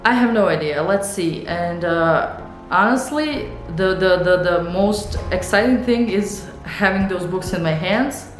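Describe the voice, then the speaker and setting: Low-voiced woman with a Serbian accent. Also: measured pace, roomy sound, faint music behind. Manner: rambling, hesitant, many fillers and restarts. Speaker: illustrator and author. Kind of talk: casual studio vlog, thinking out loud. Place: home art studio.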